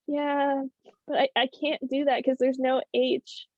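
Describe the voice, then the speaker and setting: A chatty woman host with a slightly high voice, talking casually with her guest. The sound is a dull, dry video call recording. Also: flat delivery, unhurried, darkish tone, no room sound. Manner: chatty, laughs easily. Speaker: show host. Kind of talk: casual chat.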